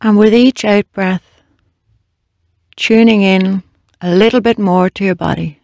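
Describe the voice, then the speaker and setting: A meditation guide leading a body scan in a slow, measured, gently instructing way with long pauses. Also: mid-range voice, steady tone, dry recording, clean studio sound.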